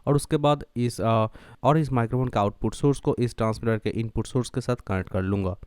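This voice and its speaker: A man's voice: mid-range voice, brisk, darkish tone, clear, resonant tone, dry recording. A man narrating a do-it-yourself tutorial step by step, mixing English terms and phrases into his speech. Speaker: electronics tutorial host.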